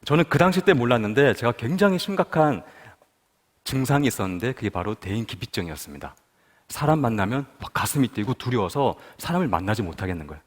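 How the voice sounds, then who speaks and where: A man from Busan tells a personal testimony at a prayer meeting. His pitch moves freely up and down as he turns questions to the listeners. His voice carries a long reverb from a large hall. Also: very animated, fast. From Busan, South Korea. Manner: storytelling, asks the audience questions. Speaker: guest speaker at a prayer meeting.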